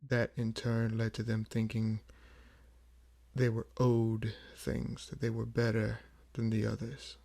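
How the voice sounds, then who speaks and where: A man as a gravelly, brooding vampire. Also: lowish voice, monotone, dark tone. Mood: grave, matter-of-fact. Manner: measured, brooding, pausing often. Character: weary, proud old vampire with a buried past. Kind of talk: slow dramatic audio roleplay monologue.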